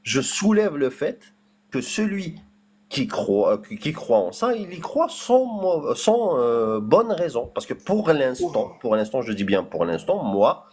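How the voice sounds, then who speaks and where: A man's voice: mid-range voice, sing-song, unhurried, darkish tone. A man speaking French as a non-native in a heated debate, hesitant and full of fillers, repeating words while insisting on finishing his point. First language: not French.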